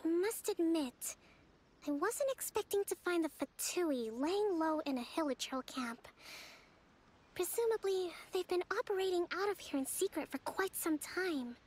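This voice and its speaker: A woman voicing a gentle, composed young female strategist in a video game, speaking in a measured, formal, thoughtful way. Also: very high voice, expressive.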